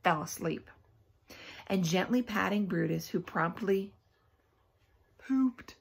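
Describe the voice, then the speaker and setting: A woman teacher reads a children's book aloud expressively, pausing often for emphasis and to put questions to young listeners. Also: medium pitch, creaky, slightly rough.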